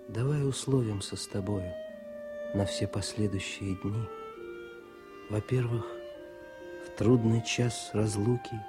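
Slow-spoken war correspondent, over music. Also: male voice, on the low side. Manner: restrained, lyrical, measured. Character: quiet, thoughtful male war correspondent and poet. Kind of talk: Soviet radio play acting with verse recitation. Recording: music mixed under the voice.

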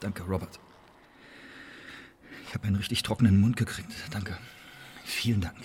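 An actor playing an anxious young secret agent on trial in a German radio drama, halting, formal and self-justifying, with a husky voice. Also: male voice, fairly low pitch, fairly even intonation, darkish tone, slight background noise, lightly acted. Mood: subdued. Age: young adult. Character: anxious, pleading young male agent on trial, aged twenty-nine. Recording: long reverb of a hall.

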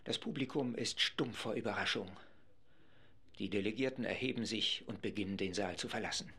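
A man's voice: fairly low pitch, brisk, pushed and bright, slightly rough. A man speaking as a live radio reporter, describing events in an assembly hall from the press gallery. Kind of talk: live radio commentary, scripted.

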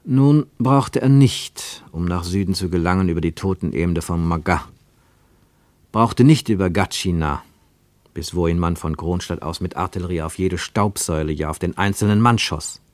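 A man narrating a literary radio play as a scripted storyteller, measured and expressive, with deliberate pauses. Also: deep voice, dry recording.

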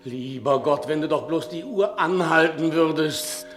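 A man's voice: a little high, measured pace, over music, lightly acted. A man playing a shifty, pleading, stammering servant. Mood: anguished. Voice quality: stammering, hesitant. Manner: pleading, servile, theatrical. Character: frightened, shifty, conscience-ridden manservant making excuses to his master. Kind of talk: 1960 German radio play acting. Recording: vintage 1960 radio studio recording.

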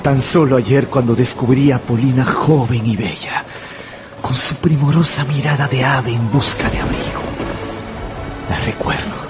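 An actor playing an obsessive, penniless young gambler who narrates a radio drama in a brooding, confessional manner. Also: male voice, medium pitch, measured pace, over music, close to an everyday voice. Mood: resigned, wistful.

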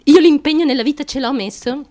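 Actress as fragile young striver, very high voice, childlike and insistent, theatrical radio monologue. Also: female voice, mellow, close to an everyday voice. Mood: determined, confiding. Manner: childlike, insistent, halting, repetitive, with nervous giggles. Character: small, driven, fragile young woman confessing, fiercely chasing fame.

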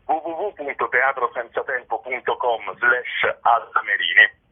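A man's voice: mid-range voice, measured pace, breathy edge. A man giving articulate, wordy interview answers as a playwright, with literary phrasing, heard through a muffled, band-limited connection. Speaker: playwright and director being interviewed. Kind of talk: articulate spoken interview answers about theatre. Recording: muffled band-limited radio phone-style sound.

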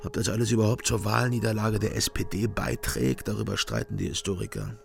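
An actor playing a weary, disillusioned East German ex-agent in a radio crime drama, gravelly, brooding and terse. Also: male voice, fairly low pitch, slight background noise. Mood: sombre, dry. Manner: brooding, terse, halting under pressure.

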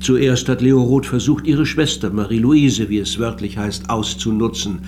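A man's voice: medium pitch, moderate pace, lightly acted. Courteous, probing man, acted over guitar music. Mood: politely insistent. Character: polite, persistent male researcher questioning a reluctant witness. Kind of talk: German radio drama acting.

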